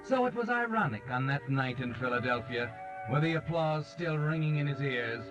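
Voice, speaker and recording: An actor playing a knowing, sardonic mystery narrator, measured and dramatic, in 1940s radio style. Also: male voice, on the high side, animated, lightly acted. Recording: dull vintage radio, music underneath. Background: dramatic music.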